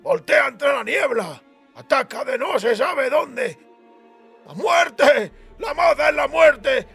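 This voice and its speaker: A man playing a panicked swordsman in a fantasy audiobook, shouting frantically in dread. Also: very high-pitched, expressive, slow, soft background music, pitched above the performer's own voice, heavily acted. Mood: terrified.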